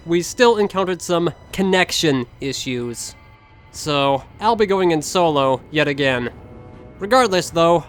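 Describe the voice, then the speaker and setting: Man, higher-pitched voice, gaming video host, scripted casual commentary, music underneath. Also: fairly bright tone.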